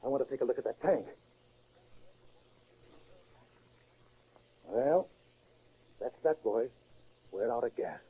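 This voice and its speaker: An actor playing a confident, self-assured safecracker boss in a 1930s radio drama. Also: male voice, mid-range voice, mellow, breathy edge. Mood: resigned, firm. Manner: brisk, self-assured, emphatic. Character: cocky, confident male safecracking gang boss. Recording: muffled 1930s radio recording.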